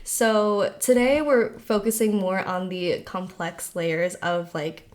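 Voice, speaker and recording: A woman's voice: fairly low pitch, steady tone, takes it slowly, a little mellow. Chatty woman podcast host. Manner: friendly, chatty, uses "like" often. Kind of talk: casual podcast chat.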